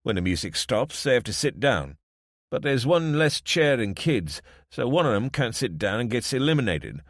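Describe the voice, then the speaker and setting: A man's voice: medium pitch, very animated, moderate pace, no echo, voice pushed up. A man doing a wry London-accented police chief. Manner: dry, wry, understated. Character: wry middle-aged man, reluctant town police chief.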